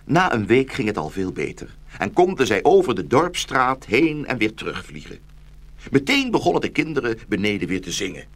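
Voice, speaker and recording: A man's voice: medium pitch, big pitch swings, normal speed, fairly bright tone. A man narrating a scripted fairy tale for children as the storyteller of a radio play.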